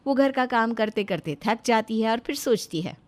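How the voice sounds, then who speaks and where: A woman's voice: a little high, expressive, normal speed. A woman giving scripted narration of a moral story as its storyteller.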